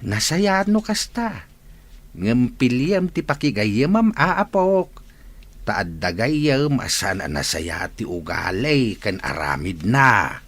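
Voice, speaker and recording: A man playing a gentle old grandfather giving advice in an audio drama. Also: medium pitch, sing-song, takes it slowly, full character voice. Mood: kindly, advising. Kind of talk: amateur audio drama acting.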